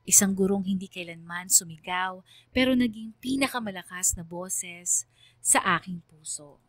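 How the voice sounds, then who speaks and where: A woman playing a quiet, grateful young student, narrating her own story in an earnest, reflective, sentimental radio drama style. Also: lowish voice, no echo, lightly acted. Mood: heartfelt, grateful. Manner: earnest, reflective, deliberate delivery.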